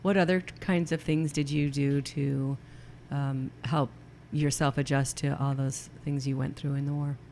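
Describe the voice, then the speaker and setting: A co-host of a relationships show, asking a guest questions in a gentle, encouraging way. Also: medium pitch, little pitch movement, moderate pace, hardly any pauses, slight background noise. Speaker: co-host, couples therapist. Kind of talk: interview questions.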